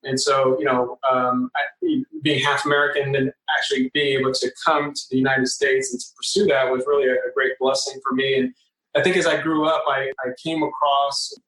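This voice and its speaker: A man's voice: mid-range voice, flat delivery, brisk, fairly bright tone. A man in his forties, originally from the Philippines, speaks earnestly as a podcast guest, often repeating words as he starts a phrase. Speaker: podcast guest, real estate investor. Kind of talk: conversational interview. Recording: roomy, distant sound.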